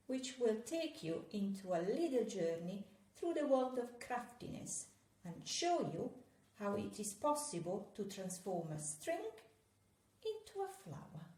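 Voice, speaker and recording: Italian-accented woman, relaxed craft teacher, slow and warm delivery, roomy sound. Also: medium pitch. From Italy. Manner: playful, chatty, gently joking instructions. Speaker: crochet teacher. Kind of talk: step-by-step craft tutorial.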